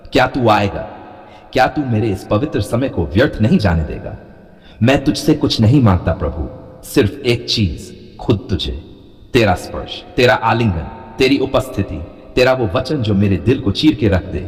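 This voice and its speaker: A man's voice: fairly low pitch, big-room sound. A man leading an earnest, pleading devotional prayer in a rhythmic, repetitive cadence. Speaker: prayer leader.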